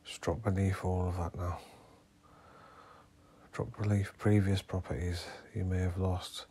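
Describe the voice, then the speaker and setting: A man leading a guided hypnotherapy meditation as a therapist, speaking in hushed, instructing, spaced-out phrases. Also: sits low, flat delivery, measured pace, slightly rough.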